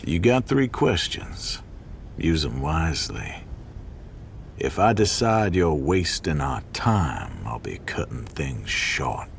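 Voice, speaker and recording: Game villain: menacing, courteous hitman, bottomless creaky bass, faint noise underneath. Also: very animated, heavily acted. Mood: mocking, menacing. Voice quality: gravelly. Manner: slow, menacing, mockingly polite. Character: menacing, unhurried scarred male hitman, coldly courteous.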